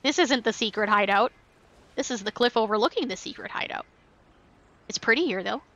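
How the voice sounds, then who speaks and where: A woman's voice: fairly high pitch, moderate pace, a bit bright, dull audio. A woman livestreaming a game, chatting casually and cutely to her viewers as she plays. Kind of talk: casual livestream chat while playing.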